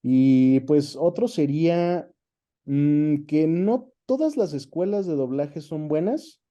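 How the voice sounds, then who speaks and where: A man's voice: a little high, expressive, takes it slowly, mellow, dull audio. A Mexican man being interviewed, explaining things in a casual, conversational way and often checking in with short tag questions. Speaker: interview guest, voice actor and dubbing director.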